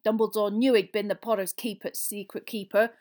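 A woman voicing a gruff, loyal giant man in a storybook, with a growling, rough and folksy manner. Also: medium pitch, little pitch movement, fairly quick, darkish tone, voice pushed up, natural voice. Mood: bitter.

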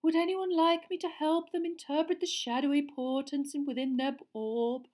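A woman voicing a dreamy, mystical older female divination teacher, murmuring slowly and theatrically. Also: sits high, fairly even intonation, darkish tone, pitched above the performer's own voice, close to an everyday voice. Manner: slow, breathy, theatrical murmuring.

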